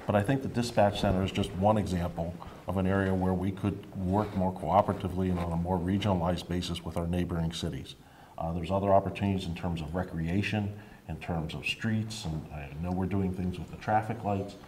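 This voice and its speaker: An American man giving a measured, fairly formal answer as a council candidate at a forum. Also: deep voice, fairly even intonation, darkish tone, a little hiss or hum.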